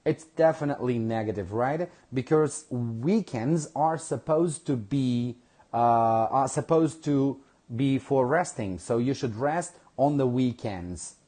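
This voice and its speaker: A man teaching a live online English lesson, speaking slowly and repeating phrases for his learners. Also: medium pitch, dark tone. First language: Kurdish.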